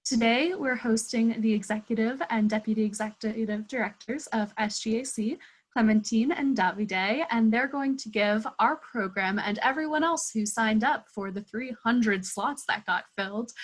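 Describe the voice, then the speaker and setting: A woman's voice: fairly high pitch, moderate pace, poor audio. A young woman hosting an online webinar from a room at home, introducing speakers in a friendly organized way. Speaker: host. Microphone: earbuds on video call.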